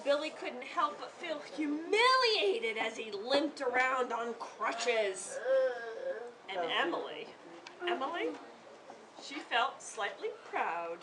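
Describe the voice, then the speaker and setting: A woman reading her story aloud to a live audience in a theatrical, interactive way, with drawn-out emphasis and questions to her listeners. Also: on the high side. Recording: distant mic with audience noise.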